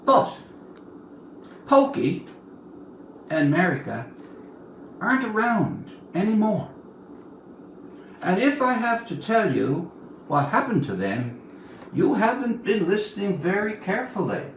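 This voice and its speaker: A man in his seventies reads a literary story aloud, recorded from some distance in a roomy space. His voice sits quite high for a man and moves freely up and down. He takes his time, phrasing like an expressive storyteller with dramatic pauses. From Newfoundland, Canada. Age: 70s. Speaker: author reading his own story. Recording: roomy, distant mic, faint background noise.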